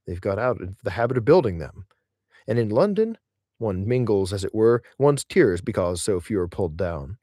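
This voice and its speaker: Male audiobook reader, somewhat deep, very animated intonation, emphatic delivery of literary prose. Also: no room sound, clean studio sound. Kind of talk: expressive scripted reading of literary essays.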